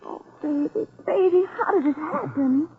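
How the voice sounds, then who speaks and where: A woman's voice: high voice, animated, moderate pace, creaky. A woman playing an anxious, tender young woman in a 1940s radio drama, with a sweet, hesitant delivery. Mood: worried, tender. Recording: tinny, noisy 1940s radio recording.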